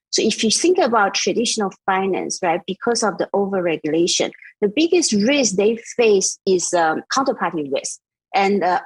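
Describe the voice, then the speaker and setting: Husky-voiced woman finance executive. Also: mid-range voice, dry recording, rough recording. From Taiwan, raised in Austria. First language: Mandarin. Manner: measured, explanatory, frequent fillers. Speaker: DeFi company CEO, guest. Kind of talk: interview answers.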